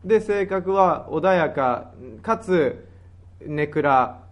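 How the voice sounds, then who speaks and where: A man with a fairly high voice and very varied intonation. He talks casually as a comedy radio co-host, playfully spinning out silly ideas in a conversational back-and-forth. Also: animated, very slow. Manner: playful, pitches absurd ideas. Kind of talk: casual comic brainstorming.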